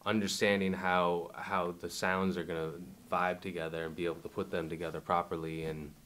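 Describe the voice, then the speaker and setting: A man's voice: sits low, fairly bright tone. A man talking casually in a documentary interview, laid-back and rambling with lots of fillers.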